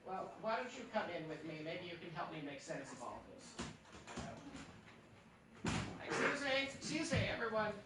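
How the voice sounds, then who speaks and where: A man playing a genial, fussy older gentleman in a 1940s-style radio play, dithering and courtly. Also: sits high, big pitch swings, fairly quick. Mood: delighted, flustered. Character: genial, fussy older male interloper, kindly and proud. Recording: echoey hall, distant mic, noisy.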